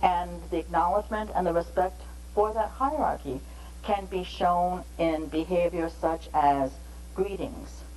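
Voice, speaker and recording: A woman giving a measured, explanatory training lecture on interpreting, pausing between phrases. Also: fairly low pitch, a little mellow, slight background noise, poor audio.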